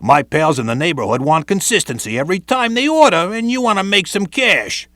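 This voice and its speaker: Brief: New man, wiseguy training narrator, higher voice, dry close mic. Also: expressive, brisk, a bit bright, dry recording. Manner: streetwise Brooklyn tough-guy patter. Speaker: training video presenter. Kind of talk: scripted instructional narration.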